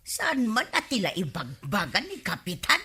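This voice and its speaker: A woman's voice: medium pitch, wildly swooping pitch, normal speed, sharp tone, exaggerated voice. A woman with an Ilocano accent playing an indignant, insistently complaining village wife in a radio comedy drama. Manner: scolding, insistent.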